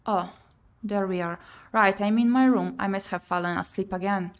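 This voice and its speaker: A woman's voice: medium pitch, steady tone, moderate pace. A woman streamer playing a visual novel, reading the game text aloud expressively with her own commentary. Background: game music.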